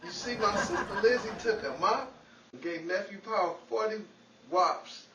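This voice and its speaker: An actor playing a mischievous, broke movie bit-player who wisecracks cockily, in the style of 1940s radio comedy. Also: male voice, sits high, unhurried. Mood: wry. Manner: wisecracking, cocky banter. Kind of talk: 1940s old-time radio comedy acting. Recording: echoey, noisy, muffled, distant radio-play recording.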